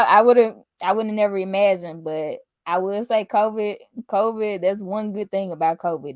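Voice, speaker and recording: A soft-spoken woman hosting a podcast, chatting casually and laughing often. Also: lowish voice, no room sound, clean studio sound. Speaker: podcast host. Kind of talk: casual chat.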